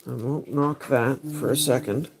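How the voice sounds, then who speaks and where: A man's voice: medium pitch, steady tone, normal speed, creaky, slightly rough, rough recording. A man hosting a casual livestream, thinking aloud as he picks cards. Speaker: livestream host.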